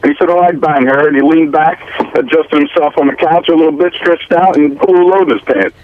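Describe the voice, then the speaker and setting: A man's voice: a little high, steady tone, fairly quick. A man calling into a radio show, casually telling an anecdote. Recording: thin, tinny phone line.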